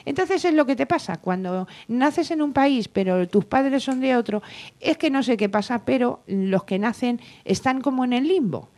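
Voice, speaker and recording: A woman's voice: high-pitched, animated, normal speed, a little mellow. A woman in casual group chat, talking at length to argue her point.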